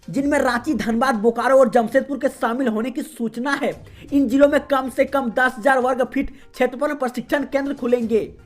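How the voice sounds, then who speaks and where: High-voiced woman reading regional news. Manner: steady formal newsreader delivery. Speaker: news reader. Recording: faint music behind voice.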